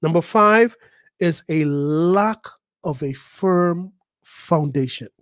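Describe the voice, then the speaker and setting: A man preaching a sermon, his fairly high voice leaping higher and louder when he drives a point home. He repeats words as he builds and acts out the voices of the people he describes. The sound is dry and close. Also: slow, no echo. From the Bahamas. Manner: emphatic, rising to high pitch and volume at key points. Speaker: pastor.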